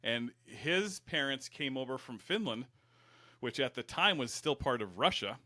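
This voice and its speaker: A man's voice: mid-range voice, lively intonation, unhurried, bright, forceful tone, no echo, studio quality. A man from Michigan's Upper Peninsula, a fire service educator, talking in a folksy, rambling, self-deprecating way. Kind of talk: casual interview.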